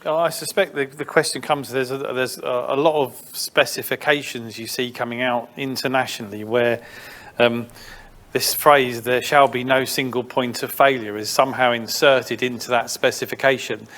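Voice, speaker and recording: A man's voice: medium pitch, fairly even intonation, moderate pace, a bit bright. A man moderating an informal industry discussion, talking conversationally and feeling his way through his phrasing. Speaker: panel moderator.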